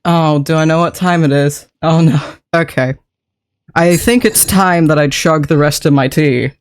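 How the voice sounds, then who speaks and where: Slightly sick, chatty streamer with a deepened voice. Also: normal speed. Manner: chatty and rambling, laughs often, greets and thanks chat. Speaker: game streamer. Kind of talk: casual chat with a live stream audience.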